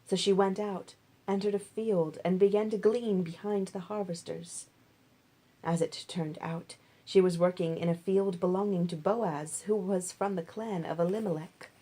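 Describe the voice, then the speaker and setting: A woman reading a Bible story aloud as scripted narration, in measured, deliberate phrases. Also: on the low side, fairly even intonation, mellow. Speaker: reader.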